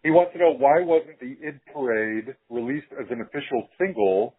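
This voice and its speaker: A man's voice: medium pitch, lively intonation, normal speed, breathy edge, narrow, tinny audio. A man hosting a casual, conversational podcast interview. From Salt Lake City, United States.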